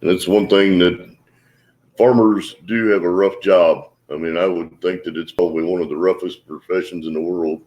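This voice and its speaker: A man with a very low, deep voice talks casually as the host of a livestream. He gives calm, steady advice at an easy pace. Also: normal speed.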